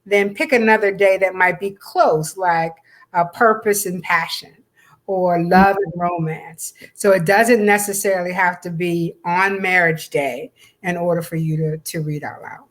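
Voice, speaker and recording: A woman's voice: fairly low pitch, fairly even intonation, measured pace. A woman co-hosting a casual chat about an event, talking in a chatty, encouraging way. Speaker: co-host.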